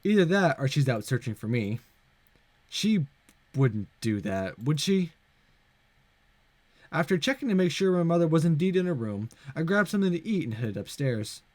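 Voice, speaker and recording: A man's voice: on the high side, big pitch swings, normal speed, pauses often, a little mellow, no echo. A man hosting a visual novel let's play, reading the story aloud with casual, playful asides. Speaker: gaming video host.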